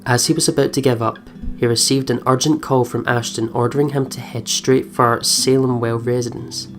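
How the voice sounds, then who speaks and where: Let's play host, mid-pitched, informal and giggly, chats and reads aloud with game music behind. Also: fairly even intonation, normal speed. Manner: giggly, chatty. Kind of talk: casual chat and reading aloud over game. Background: plucked guitar game music.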